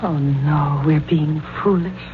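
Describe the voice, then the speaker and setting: A woman's voice: deep voice, steady tone, slow pace. An old, dull radio recording with noise behind it carries a coy younger sweetheart. She is a woman speaking cautiously, in the polished manner of 1940s mystery drama. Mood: coy, hesitant.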